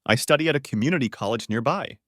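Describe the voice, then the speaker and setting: A man teaching beginner English, reading short model sentences clearly and saying each one twice. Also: mid-range voice, lively intonation, a bit bright, dry recording, clean studio sound. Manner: says each phrase twice, clearly and deliberately.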